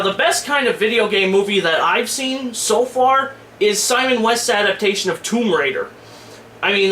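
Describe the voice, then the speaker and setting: Male vlogger, high-pitched and forceful, blunt slangy reviewer rant, roomy home-made sound. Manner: informal, griping, blunt slangy delivery. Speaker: online movie and game reviewer, host. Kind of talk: casual opinion rant to camera. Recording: echoey room with faint background noise.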